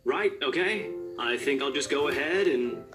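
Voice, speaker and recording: A man's voice: medium pitch, lively intonation, moderate pace, lightly acted. A man voicing a teasing, slightly awkward young male friend in a visual novel game, casual and playful. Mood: mock indignant. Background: video game music.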